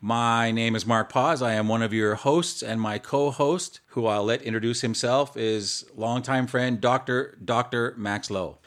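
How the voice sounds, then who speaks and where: A man hosting a podcast in casual chat, earnest and a little halting. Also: medium pitch, steady tone, fairly bright tone. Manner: earnest, with some restarts. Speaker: podcast host.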